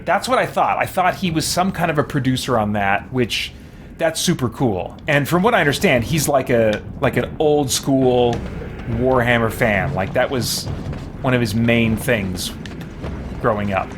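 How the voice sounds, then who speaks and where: A man's voice: mid-range voice, lively intonation, a little slow. Casual male streamer over noisy background. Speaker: game streamer and host. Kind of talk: casual livestream chat with game commentary.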